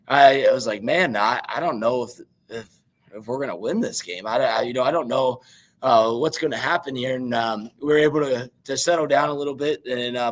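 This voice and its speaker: A man with a naturally raspy voice and a Midwestern American accent, a young high school football coach giving rambling interview answers full of fillers. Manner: rambling, many fillers and restarts.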